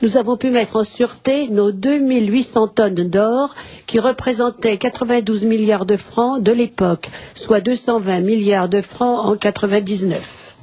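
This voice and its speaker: A woman's voice: medium pitch, moderate pace, dark tone. A woman reading announcements and listeners' messages aloud on a radio talk show.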